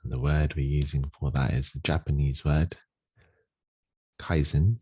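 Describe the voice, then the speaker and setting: A man leading a guided meditation in a gentle, unhurried, soothing manner with long pauses. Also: a bass voice, steady tone, slightly rough, no room sound. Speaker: meditation teacher.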